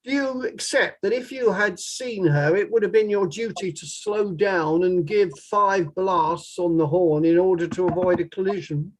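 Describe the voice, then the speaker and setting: Courtroom lawyer acted, formal, measured, probing questions, mid-pitched voice, drama table read. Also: a little hiss or hum, lightly acted, rough recording.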